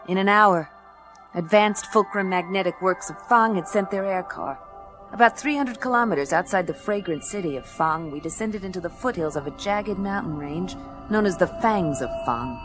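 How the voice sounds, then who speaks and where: A woman's voice: fairly low pitch, normal speed, fairly bright tone, faint music behind, natural voice. A woman playing a tough, blunt, sardonic space detective in a radio play, both narrating and speaking in dialogue with a dry delivery. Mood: wry narrating.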